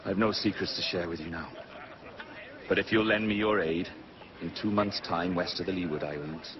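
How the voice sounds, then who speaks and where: Male actor as proud old wolf of a pirate captain, lowish voice, theatrical, commanding, eloquent, video game cutscene. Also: fairly even intonation, dull audio, background noise, lightly acted. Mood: sly, measured. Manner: theatrical, grandiloquent, measured.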